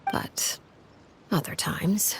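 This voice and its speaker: A woman voicing a cold, menacing head of an orphan house in a video game, speaking in a measured, formal way. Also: whispered. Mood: cool, explaining. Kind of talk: scripted video game voice acting.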